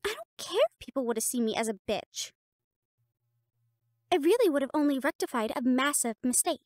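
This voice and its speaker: Girl voice actor doing a high voiced sassy catgirl cheer captain, bossy mean girl type, super dry close sound, audio rp. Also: sing-song, moderate pace, dry recording. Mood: teasing. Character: bossy, sharp-tongued young catgirl cheerleader captain, secretly fond. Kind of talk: scripted audio roleplay acting.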